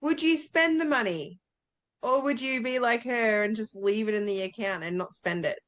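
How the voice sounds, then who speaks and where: A woman's voice: on the high side, normal speed, fairly bright tone. A woman co-hosting a casual book discussion, talking informally and thinking aloud as she goes. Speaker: book club podcast co-host.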